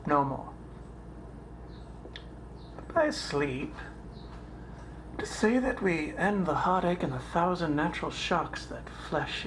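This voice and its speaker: A brooding prince speaks a Shakespearean soliloquy, acted by a stage performer. The delivery is slow and deliberate, full of weighty pauses. Faint noise sits under the home recording. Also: medium pitch. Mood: brooding, questioning. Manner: deliberate, pausing, dropping to whispers. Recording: noisy amateur home recording.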